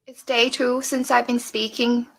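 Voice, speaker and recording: High-voiced Australian woman, played clip. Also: flat delivery, measured pace, gravelly. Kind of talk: self-recorded video clip.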